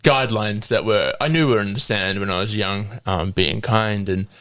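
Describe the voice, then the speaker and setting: Low-voiced hesitant young New Zealand man. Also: normal speed, a bit bright, smooth, dry recording, studio quality. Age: 20s. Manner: hesitant, with frequent ums and laughs. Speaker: podcast guest. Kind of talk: sharing a personal faith testimony.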